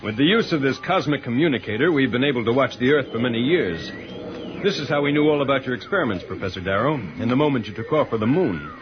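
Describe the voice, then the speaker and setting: An actor playing a calm, commanding alien spaceship captain who speaks formally in an old-time radio science fiction serial. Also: male voice, mid-range voice, quick pace, natural voice. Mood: calm, explaining. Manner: formal, explanatory. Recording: dull, noisy old radio recording.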